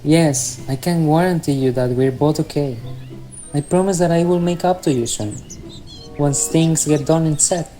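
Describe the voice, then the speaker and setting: A man's voice: a little high, normal speed, warm tone, natural voice, low-quality recording. A man playing a lovesick, torn young man in a student radio drama, speaking English. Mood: earnest, reassuring. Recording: music under the voice.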